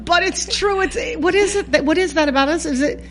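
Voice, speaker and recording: A woman's voice: very high-pitched, a little fast. A woman hosting a radio talk show, asking chatty, playful follow-up questions in a casual interview.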